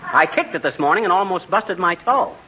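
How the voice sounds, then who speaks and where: An actor playing a folksy, easily flustered, wisecracking husband in 1940s radio comedy, with slangy, sputtering speech. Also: male voice, high voice, animated, fairly quick. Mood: chatty. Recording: noisy, muffled 1940s radio recording.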